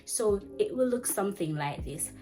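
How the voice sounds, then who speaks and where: A young woman giving chatty, fast-paced teaching advice to camera in a small indoor room. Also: mid-range voice, soft background music. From Jamaica. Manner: chatty, fast-talking, animated with hand gestures. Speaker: vlogger and ESL teacher. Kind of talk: casual advice vlog. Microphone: camera framing head to chest.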